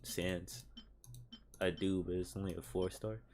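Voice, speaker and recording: A man's voice: sits low, flat delivery, unhurried, a little mellow, slight background noise. A man giving casual gameplay commentary, talking to himself in slang. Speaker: gaming streamer. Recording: game music behind the voice. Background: video game music.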